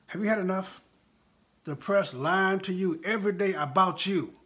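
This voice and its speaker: A man's voice: sits high, normal speed, fairly bright tone. An American man in his sixties speaking informally but with passion to live viewers, emphatic and repeating phrases for effect. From United States. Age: 60s. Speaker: live stream host and political speaker.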